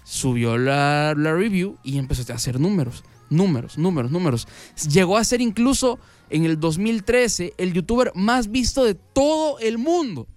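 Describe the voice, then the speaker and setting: A man's voice: high-pitched, lively intonation, moderate pace, a little mellow, dry recording. A man with a Latin American Spanish accent hosts a radio show, telling stories in a casual, chatty monologue and repeating words for emphasis. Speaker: radio music show host. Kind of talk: casual conversational monologue, storytelling to the audience. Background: music.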